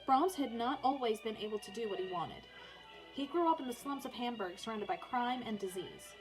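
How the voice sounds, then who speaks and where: Woman, high-pitched voice, teacher's read-aloud, storybook narration, informal asides, music in background. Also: animated, normal speed, rough recording. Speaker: teacher reading aloud for online learners. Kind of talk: read-aloud of a children's biography with casual asides.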